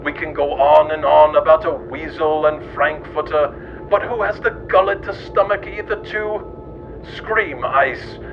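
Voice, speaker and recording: High-pitched male narrator, muffled noisy recording. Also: a little slow. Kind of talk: scripted narration of absurd comic history.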